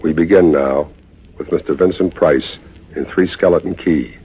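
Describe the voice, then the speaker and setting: A man hosting a radio suspense show, giving a solemn, deliberate, portentous scripted introduction in old-time announcer style. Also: very deep voice, warm tone, husky. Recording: dull vintage radio recording.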